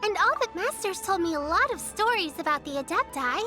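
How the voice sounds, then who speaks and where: A woman voicing an excitable, innocent young girl in a video game, chatty and childlike. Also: extremely high pitch, a little fast, music in the background. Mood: happy, eager. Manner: eager, childlike, exclamatory. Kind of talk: video game character acting.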